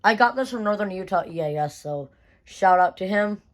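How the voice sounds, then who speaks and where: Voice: mid-range voice, animated, normal speed. A young person giving a casual, unscripted show-and-tell as a hobby vlogger, with slangy, repetitive phrasing and some mild swearing. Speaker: vlogger showing a weather radio collection.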